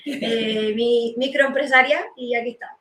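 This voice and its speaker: A woman's voice: a little high, fairly even intonation, measured pace, fairly bright tone. A woman from Cuba, speaking conversationally as an interview guest. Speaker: interview guest, dance teacher. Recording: echoey room with faint noise.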